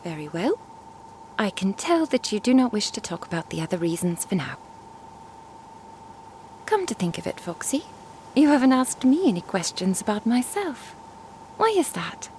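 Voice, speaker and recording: A woman playing a curious, composed traveling merchant and master in an ASMR roleplay, speaking in a measured, formal, inquisitive way. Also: medium pitch, big pitch swings, darkish tone, slight background noise, close to an everyday voice. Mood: composed, probing. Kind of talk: ASMR roleplay audio drama.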